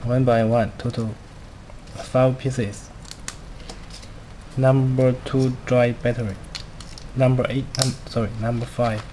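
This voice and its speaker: A man who speaks English as a second language, giving a halting step-by-step product demonstration in short, simple phrases. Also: mid-range voice, level tone, mellow. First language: not a native English speaker. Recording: clearly noisy amateur recording.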